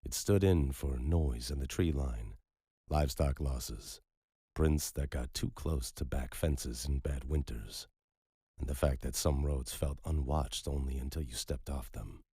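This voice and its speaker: A man narrating a thriller audiobook in a steady, measured way. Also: extremely low pitch, slightly rough, no room sound, studio quality.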